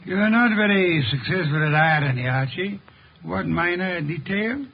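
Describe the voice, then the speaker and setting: Eccentric genius detective, husky gravelly voice, pompous, formal, precise, vintage radio drama, dull muffled sound. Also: male voice, high voice, expressive, measured pace, full character voice. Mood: dry, commanding.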